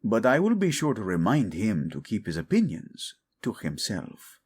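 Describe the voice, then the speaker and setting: A man's voice: lowish voice, huge pitch swings, normal speed, a little mellow, husky, heavily acted. A man acting as a teasing, devoted king in an intimate romantic audio roleplay, playful and tender with his wife.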